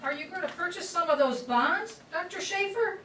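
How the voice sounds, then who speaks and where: A woman's voice: very high-pitched, normal speed, over music. A woman playing a timid, sweet, naive young nurse in an amateur staged radio play. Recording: echoey, distant, faint noise.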